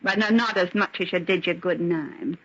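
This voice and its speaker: A woman's voice: on the low side, moderate pace. Bitter wronged young woman, jail-hardened and sarcastic, old radio drama, muffled lo-fi sound. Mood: bitter, resigned. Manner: bitter, sardonic, defiant. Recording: dull, lo-fi vintage radio recording.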